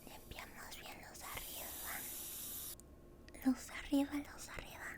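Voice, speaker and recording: A woman doing an ASMR roleplay as a dental hygienist, speaking softly and breathily in a tender, soothing way, close to the microphone. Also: unhurried. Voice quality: soft whispery, breathy. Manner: tender, soothing, uses many diminutives. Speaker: ASMR creator role-playing a dental hygienist.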